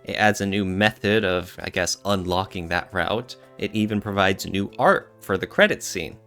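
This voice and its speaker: A man's voice: low voice, normal speed, a bit bright, faint music behind. A man co-hosting a podcast, talking casually in an earnest, reflective way with some hedging. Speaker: podcast co-host.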